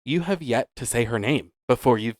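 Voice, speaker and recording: A man co-hosting a casual podcast chat, teasing and quick with banter. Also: mid-range voice, a little mellow, no room sound, clean studio sound. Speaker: podcast co-host.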